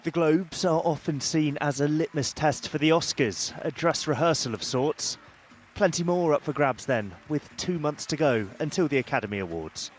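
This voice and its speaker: A male reporter reading a scripted entertainment news package in crisp, punchy phrases. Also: fairly high pitch, normal speed, slight background noise.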